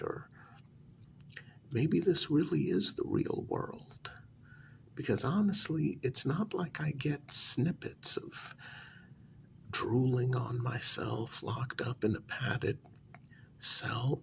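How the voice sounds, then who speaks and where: A man playing a smug, deluded asylum inmate in a soft-spoken ASMR role-play, breathy and half-whispered, talking down to his listener in a halting, condescending way. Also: medium pitch, a little hiss or hum, heavily acted. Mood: musing. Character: deluded male asylum inmate, smugly convinced he created the world.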